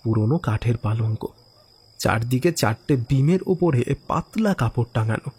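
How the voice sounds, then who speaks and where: A man narrating a first-person horror story in an expressive, theatrical way, with musing asides and exclamations. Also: mid-range voice, normal speed, husky. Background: background music.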